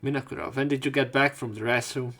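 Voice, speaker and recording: A man's voice: mid-range voice, steady tone, quick pace, a bit bright. A man hosting a let's play, reading game text aloud and adding casual, joking commentary.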